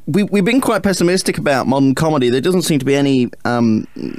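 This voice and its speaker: A man's voice: medium pitch, lively intonation, fast talker, very noisy. A man asking conversational questions as a radio interviewer.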